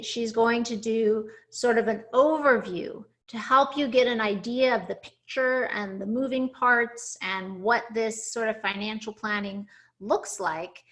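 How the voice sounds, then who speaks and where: A woman's voice: a little high, a bit bright. A woman hosting a webinar, introducing her guest in an upbeat, effusive way over a video call. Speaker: webinar host.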